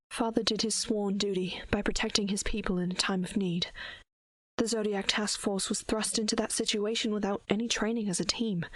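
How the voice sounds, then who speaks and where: A woman playing a caring, protective princess and older sister, with a measured, formal, soothing manner in an audio drama. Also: mid-range voice, no echo, lightly acted, rough recording. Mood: consoling. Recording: music bed behind the voice.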